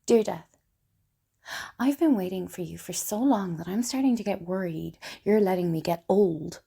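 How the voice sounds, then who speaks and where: A woman playing a lonely, wry, broken woman confiding in Death in an intimate, hesitant theatrical monologue, with a husky, breathy voice. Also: medium pitch, normal speed, warm tone. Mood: wry, melancholy. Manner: confessional, self-deprecating, hesitant. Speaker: writer and performer of a one-woman play.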